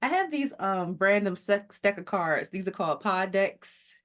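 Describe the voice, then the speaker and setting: A woman's voice: mid-range voice, lively intonation, moderate pace, a bit bright. A woman hosting a beauty podcast, upbeat and enthusiastic, chatting casually with her guest and laughing often. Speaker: podcast host. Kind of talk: casual interview chat.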